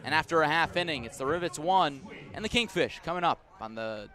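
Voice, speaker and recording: Higher-voiced man calling baseball. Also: lively intonation, bright, forceful tone. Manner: descriptive, measured between plays, punchy calls on action. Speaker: baseball play-by-play radio announcer. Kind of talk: live sports commentary. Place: outdoor ballpark broadcast.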